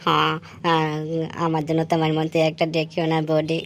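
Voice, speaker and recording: A woman's voice: sits low, monotone, fairly quick, vocal fry, slight background noise. Crude cartoon young man, played by a woman: leering, slangy, colloquial, comic. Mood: scheming, leering. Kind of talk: comic Bengali cartoon dialogue.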